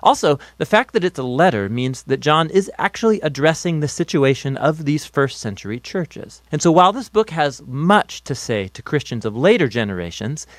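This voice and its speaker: A man's voice: on the high side, very animated, normal speed, few pauses, dry recording. A man reading scripted explainer narration in an articulate, instructive way, as a studio voice-over.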